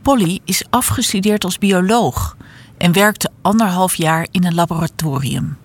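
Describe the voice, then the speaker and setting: Calm low-voiced woman narrator. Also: moderate pace, slightly rough. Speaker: documentary narrator. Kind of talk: scripted documentary narration. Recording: music underneath.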